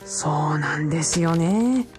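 A woman's voice: low-pitched, animated, a little slow, a little mellow, soft background music. A woman playing a polite, eager young man who works in a bookshop, deferential and explanatory, in a radio drama. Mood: earnest, explanatory. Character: polite young man, bookshop part-timer, eager self-styled fiancé.

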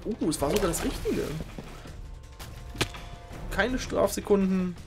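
A young man hosting a game show, giving informal, excitable live commentary on a video game race. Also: high-pitched, unhurried. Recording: music behind the voice.

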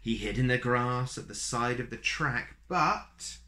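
A man in his thirties reading a picture book aloud to young children in an expressive, deliberate storytelling manner. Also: mid-range voice. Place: small plain room indoors.

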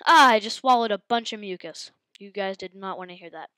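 A woman with a head cold hosting her gaming channel, giving excitable live commentary and yelping at the game as she plays. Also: mid-range voice, fairly quick, dry recording. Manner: excitable, yelps and exclaims at the game.

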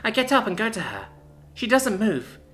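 A woman's voice: mid-range voice, very animated, normal speed, fairly bright tone, soft background music, pitched above the performer's own voice. A woman voicing a small, frightened young girl in an audiobook, childlike and pleading. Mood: worried. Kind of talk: audiobook character acting.

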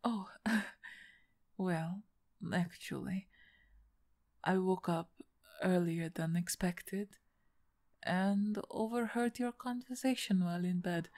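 A woman playing a big, gentle, injured half-orc in an ASMR roleplay, speaking softly and hesitantly with a breathy, husky tone. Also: lowish voice, steady tone. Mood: polite, amused. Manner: hesitant, soft-spoken, halting with pauses.

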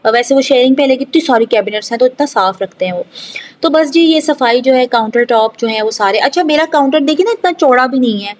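Higher-pitched woman chatting casually. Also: fast talker, slight background noise. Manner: rambling, conversational, with repeated filler phrases. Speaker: home vlogger. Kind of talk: casual chatty vlog voice-over.

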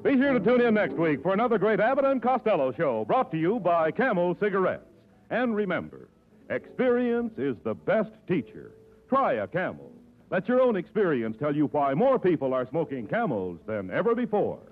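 An American male announcer in 1940s radio style. His voice is notably high as he delivers scripted copy. The old broadcast sounds tinny and narrow. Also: huge pitch swings, moderate pace.

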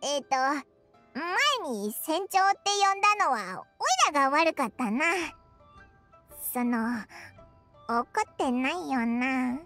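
A tiny, boastful fairy sidekick with a very high, squeaky child's voice. The delivery swoops animatedly in cheeky, boyish casual speech, as in an anime-style game, over music. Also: female voice, animated, takes it slowly, a bit bright, full character voice. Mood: curious, sheepish. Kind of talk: Japanese game voice acting.

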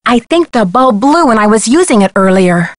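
A woman's voice: a little high, sing-song, moderate pace, no room sound. An actress playing an earnest, clever young female detective in a video game, explaining things with composure. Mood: earnest, composed.

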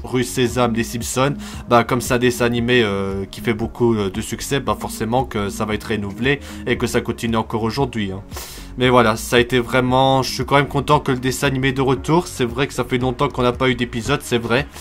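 A man's voice: medium pitch, fairly bright tone. Young man vlogging over music. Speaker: YouTube host. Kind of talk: casual TV news commentary for a video channel.

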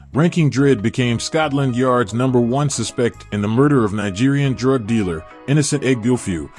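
A man giving a steady, measured scripted documentary narration. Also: medium pitch.

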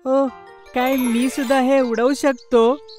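Woman as earnest apologetic cartoon kid boy, flat intonation, faint music. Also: high-pitched, takes it slowly. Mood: hopeful. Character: polite, apologetic young boy.

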